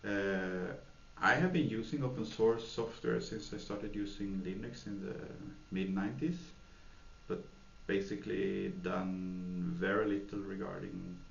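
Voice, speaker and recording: A man with a low, gravelly voice answers questions slowly, pausing on fillers and restarting his sentences. The sound is echoey and muffled, with a faint hiss behind him. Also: fairly even intonation. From Sweden. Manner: hesitant, many fillers and restarts. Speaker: software developer, interviewee. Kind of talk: thoughtful interview answers. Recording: echoey room, dull lo-fi sound, faint noise.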